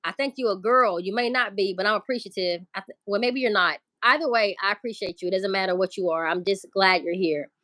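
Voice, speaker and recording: A woman's voice: mid-range voice, a little fast, few pauses, a bit bright, dry recording. A woman hosting a casual livestream, talking loosely, swearing and greeting viewers in the chat. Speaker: livestream host.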